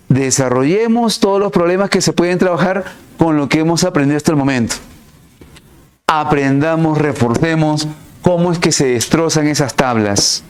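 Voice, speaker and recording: A male math teacher explaining a problem step by step, asking rhetorical questions and stressing key words with big swings in pitch. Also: clean tone. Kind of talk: recorded online class.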